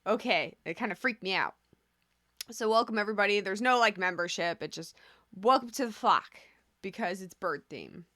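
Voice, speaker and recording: A woman's voice: mid-range voice, animated, moderate pace, bright, forceful tone, no echo, studio quality. A woman hosting a casual video commentary on stocks, talking conversationally and drifting into asides. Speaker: host of a stock commentary channel.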